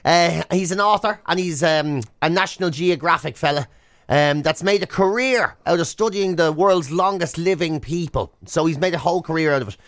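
Higher-voiced Irish man, teasing radio banter. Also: moderate pace, a bit bright, dry recording, studio quality. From Ireland. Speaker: radio show co-host. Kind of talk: casual bantering radio chat.

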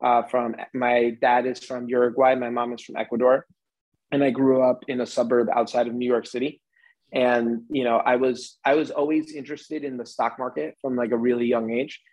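A man's voice: medium pitch, little pitch movement, moderate pace, a little mellow, low-quality recording. Man in his forties, American, podcast guest, casual chat, rambling with fillers and restarts. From United States. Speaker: interview guest.

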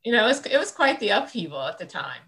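A woman's voice: medium pitch, very animated, fast talker, fairly bright tone. A woman in her sixties from central Illinois, an interview guest, recalls the past in a rambling, thoughtful way with many fillers. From central Illinois, United States. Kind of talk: reflective conversational interview.

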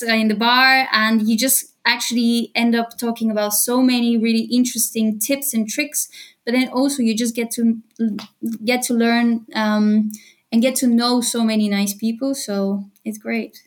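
A woman in her thirties, originally from Bulgaria, chatting lively as a podcast guest and laughing often. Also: fairly high pitch, unhurried. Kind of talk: casual interview chat.

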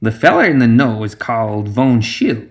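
A man's voice: mid-range voice, sing-song, moderate pace. A man voicing a shrewd, businesslike backwoods woman with a folksy, matter-of-fact manner in a fantasy storybook.

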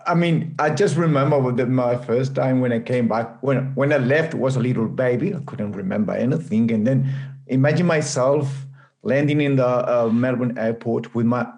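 A man who grew up in Spain, speaking English as an interview guest, halting and laughing often. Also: mid-range voice, darkish tone. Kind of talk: conversational interview.

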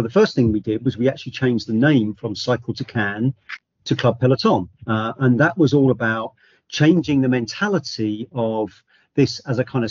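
A man speaking as the outgoing chair of a charity in an interview, measured and full of ums and restarts. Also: mid-range voice, darkish tone, dry recording, muffled recording. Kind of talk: interview answer.

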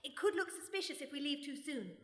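Woman as a high-voiced, brisk lady detective. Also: animated, fairly bright tone. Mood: brisk, composed. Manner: brisk, articulate, dryly proper. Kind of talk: live comedy radio play acting. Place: stage in a hall before a live audience. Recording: echoey hall, live stage recording.

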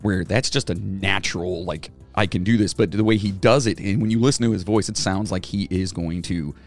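A man with a gravelly, slightly low voice talks casually as a podcast co-host, wandering through his points with fillers and false starts. He speaks like a former band singer swapping stories. Faint music sits behind him. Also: brisk. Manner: rambling, lots of fillers and restarts. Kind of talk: casual chat.

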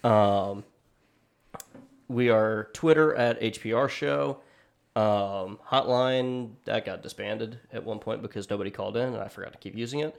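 A man's voice: lowish voice, normal speed, creaky. Rambling casual podcast host, man. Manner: rambling, filler-heavy, self-deprecating.

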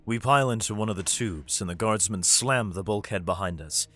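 A man's voice: fairly low pitch, brisk, a bit bright. A man playing a weary, protective middle-aged father in an audio drama, narrating his flight in a subdued manner. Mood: grave narration. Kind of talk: audio drama first-person narration and dialogue.

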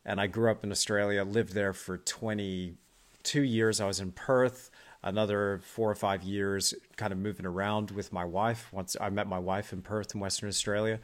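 A man's voice: fairly low pitch, fairly even intonation, normal speed. A man from Texas hosting a podcast interview, chatting casually and encouragingly with frequent short affirmations. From Texas, United States. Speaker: podcast host.

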